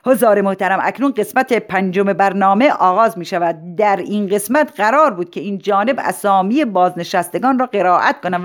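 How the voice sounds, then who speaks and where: A woman's voice: mid-range voice, close to an everyday voice. An officious male school principal as a woman storyteller plays him, presiding over a ceremony. He speaks in a formal, declamatory style, full of self-important courtesy, lifted above plain talk.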